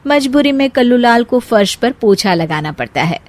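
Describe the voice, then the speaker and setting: A woman's voice: a little high, expressive, normal speed, darkish tone. A woman narrating a scripted comic family story as a storyteller.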